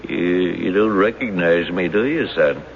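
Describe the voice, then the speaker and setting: A man's voice: low-pitched, animated, unhurried. An actor in a radio drama playing a genial, folksy old retired bank man who coaxes like a crafty confessed robber. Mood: folksy, welcoming. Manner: folksy, coaxing. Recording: dull, noisy old radio broadcast.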